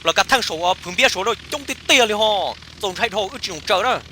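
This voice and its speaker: High-pitched guy doing chatty funny cartoon dialogue, talks quick, noisy homemade recording. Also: animated, sharp tone.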